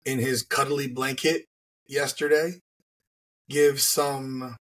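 A man in his thirties hosts a sports podcast from a room at home, his voice husky and worn from a recent flu. He speaks in a measured, teasing way. He comes through a headset on a video call. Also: mid-range voice, fairly even intonation, fairly bright tone. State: hoarse, getting over the flu. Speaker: podcast host. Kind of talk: casual sports chat.

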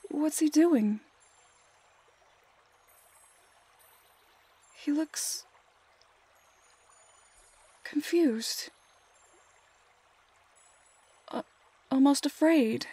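An actress voices a young woman in a dramatic fantasy roleplay, tender and anguished. Her high voice moves slowly and hesitantly, often hushed almost to a whisper. The sound is close and dry. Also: no room sound. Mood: uneasy, awed.

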